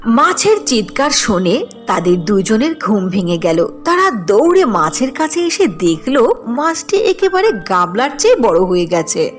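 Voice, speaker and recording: A woman narrates a cartoon folk tale in a scripted storytelling style. Her voice sits fairly high and moves with very lively intonation, with music playing behind it.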